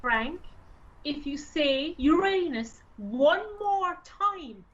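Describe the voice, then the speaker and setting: A woman with an Irish accent playing an irate, sarcastic, sharp-tongued racehorse owner in an amateur radio play. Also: high-pitched, expressive, very slow, dull audio, full character voice. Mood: scornful, bossy. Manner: indignant, sarcastic, scornful.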